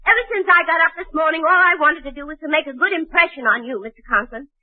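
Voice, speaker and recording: An actress playing a witty, lovelorn schoolteacher in 1940s radio comedy, flustered and stammering, then drily sarcastic. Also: female voice, high-pitched, quick pace, natural voice. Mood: indignant. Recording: muffled, narrow-band 1940s radio recording.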